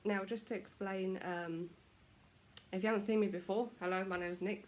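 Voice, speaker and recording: A woman's voice: medium pitch, fairly even intonation, normal speed, a little hiss or hum. A British woman chatting casually to camera at home, self-deprecating, her nose stuffy from a cold. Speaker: vlogger.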